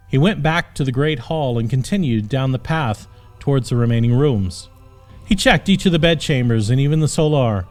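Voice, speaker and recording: A man reading a scripted story as an audiobook narrator, with expressive storytelling delivery. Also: medium pitch.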